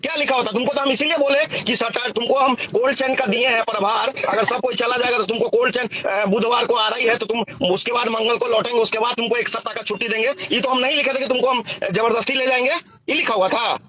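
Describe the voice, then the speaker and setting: A high-voiced man with a Bihari accent, agitated and angry, berates someone like a domineering boss. He talks fast and repeats himself. It is a muffled phone call recording with loud chatter behind. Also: fairly even intonation. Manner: domineering, confrontational, repetitive. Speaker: medical officer in charge, speaking as a boss. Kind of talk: heated phone argument.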